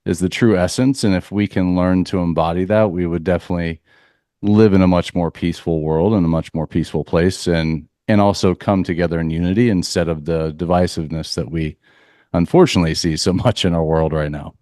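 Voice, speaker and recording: A man from Central Florida, a leadership coach for athletes, talking calmly and earnestly in a reflective podcast interview. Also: deep voice, a little fast, no echo, clean studio sound. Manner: calm, earnest, spiritual phrasing.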